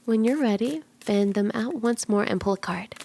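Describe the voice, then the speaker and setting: A woman voicing a flirty, soothing kitsune fortune teller in an ASMR roleplay, speaking gently and coaxingly, at times in breathy whispers. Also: a little high, moderate pace, a little hiss or hum, natural voice. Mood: playful, warm. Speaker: tarot reader.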